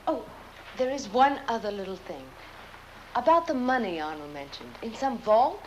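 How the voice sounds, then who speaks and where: Demure, greedy young bride, played by an actress: sweetly measured film dialogue, highish and very animated. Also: female voice, muffled recording, slight background noise, lightly acted. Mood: coyly curious.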